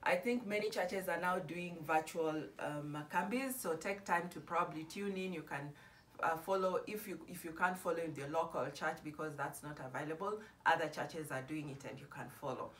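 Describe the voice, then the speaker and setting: A middle-aged woman from Kenya giving a soft-spoken, halting devotional introduction before singing a hymn. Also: deep voice. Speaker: gospel singer. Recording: voice a bit far from the mic.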